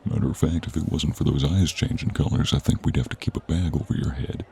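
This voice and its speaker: A man playing a gruff, wry, honourable monster hunter in an audio roleplay, with a gravelly, husky voice and informal manner. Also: very deep voice, steady tone, fast talker, dark tone, heavily acted. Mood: wry, guarded. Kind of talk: audio roleplay acting, speaking to the listener.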